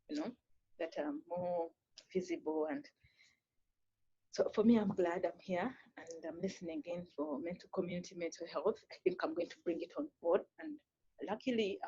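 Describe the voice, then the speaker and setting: A mental health official speaking on a conference panel, haltingly, with many fillers and restarts. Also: medium pitch, vocal fry, a little mellow, breathy edge, no echo, dull audio.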